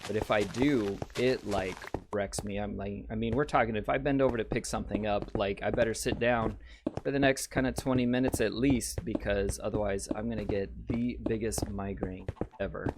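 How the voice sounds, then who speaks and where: A man with a slightly raspy voice hosting a casual gameplay video, rambling and chatting straight to his viewers. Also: fairly low pitch, normal speed. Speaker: let's play host. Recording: steady background noise.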